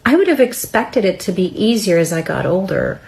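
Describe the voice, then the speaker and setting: A woman's voice: mid-range voice, very animated, a little fast, creaky, darkish tone. A woman in her fifties talking bluntly and sounding fed up. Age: 50s. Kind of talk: casual video confessional.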